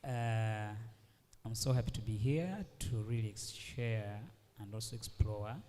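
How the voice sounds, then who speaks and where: A man's voice: on the low side, warm tone. A man from Uganda, speaking earnestly as a panelist and addressing the audience directly. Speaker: cooperative general manager, panelist. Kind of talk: conference panel remarks. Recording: long reverb of a conference hall.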